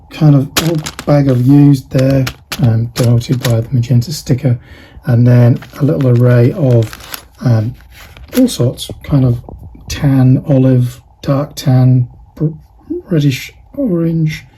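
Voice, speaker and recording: A man's voice: mid-range voice, mellow, a little hiss or hum, rough recording. A man chatting casually while packing orders, rambling and thinking aloud with lots of fillers. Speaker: online parts seller. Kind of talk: casual behind-the-scenes vlog chat.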